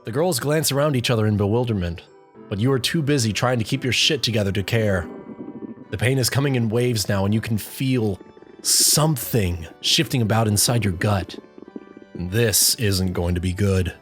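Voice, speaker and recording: A mid-pitched narrator delivers scripted storybook prose over light background music. The reading is addressed to the listener in the second person, as in an audio fanfic. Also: normal speed. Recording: music behind the voice.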